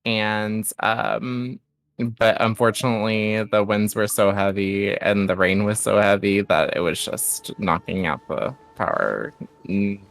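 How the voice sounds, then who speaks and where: A man's voice: fairly low pitch, unhurried, creaky, fairly bright tone, no echo. A man chatting casually and candidly as a guest on a livestream, a bit self-deprecating. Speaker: streamer, guest on a stream. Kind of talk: casual chat.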